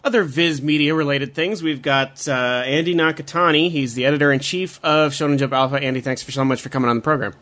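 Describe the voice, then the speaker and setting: Man, podcast host, bright tense voice, slightly high, casual friendly questions, lots of fillers. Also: steady tone, fast, hardly any pauses. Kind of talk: casual interview.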